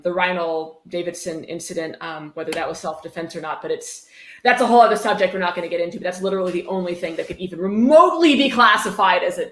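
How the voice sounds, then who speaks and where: A woman hosting a casual livestream, reading aloud and reacting in a blunt, exasperated, sweary way. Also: on the low side. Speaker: livestream host. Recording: roomy, echoey sound.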